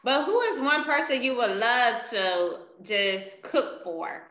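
A woman hosting a casual interview, relaxed, answering with "okay" and "I got you". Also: on the high side, slow, lo-fi sound. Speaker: interviewer, host. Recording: echoey room.

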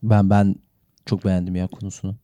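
A man's voice: sits low, level tone, fairly quick, dark tone, no echo. A man, co-hosting a podcast in a casual, conversational way. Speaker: podcast co-host. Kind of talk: casual chat.

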